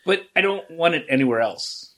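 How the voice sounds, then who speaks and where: Higher-voiced joking man. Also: animated, fairly quick, a bit bright. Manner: joking, easygoing. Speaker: podcast guest. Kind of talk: casual chat.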